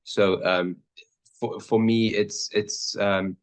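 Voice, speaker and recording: Deep-voiced man, halting interview answers. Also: steady tone. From born in Pakistan, raised in the Netherlands. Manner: hesitant, many fillers and restarts. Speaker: lawyer guest.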